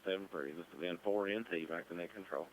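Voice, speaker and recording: Deep-voiced man on noisy ham radio. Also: fast talker. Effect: amateur radio transmission. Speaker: ham radio net participant. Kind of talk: casual radio check-in, rambling report. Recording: noisy amateur radio link.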